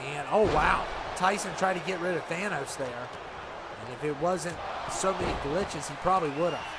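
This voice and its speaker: A man's voice: fairly high pitch, normal speed. A man casually commentating on a video game match, chatty and reactive, a little congested from allergies. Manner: relaxed, chatty, reacts to the action. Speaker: gameplay commentator. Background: loud game crowd and noise.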